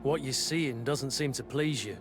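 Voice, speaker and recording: A man's voice: medium pitch, moderate pace, over music, natural voice. Man acting wry, blunt mercenary bodyguard. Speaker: voice actor in a fantasy video game. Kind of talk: game dialogue.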